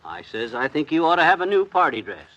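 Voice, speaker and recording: Brief: a jovial fast-talking husband, 1950s radio comedy. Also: male voice, a little high, very animated, a bit bright, highs cut off. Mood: breezy. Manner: quick, bouncy, jovial delivery. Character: folksy talkative middle-aged husband.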